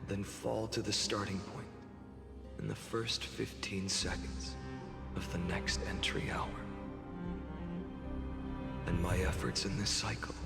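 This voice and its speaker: A man voicing a weary, steadfast young hero in a video game, speaking in a solemn, measured, subdued way. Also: fairly low pitch, creaky. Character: weary, steadfast young male hero caught in endless cycles.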